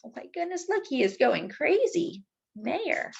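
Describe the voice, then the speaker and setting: A woman reads a children's picture book aloud as a storytime host. Her voice is somewhat high and very lively in pitch, with dramatic, expressive delivery aimed at young listeners. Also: measured pace, vocal fry, muffled recording, slight background noise.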